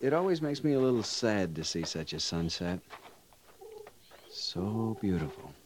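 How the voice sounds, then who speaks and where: A courteous, earnest young army lieutenant in a 1950s radio drama. His voice sits fairly low but moves expressively, with gentle formality. A faint hiss runs under the old recording. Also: very animated, measured pace. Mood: wistful, tender. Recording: hissy vintage radio recording.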